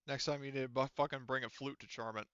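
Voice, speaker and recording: A man's voice: mid-range voice, steady tone, fast talker, sharp tone, clear, resonant tone, no echo, lo-fi sound. A man casually running a tabletop game as game master, rambling and swearing freely.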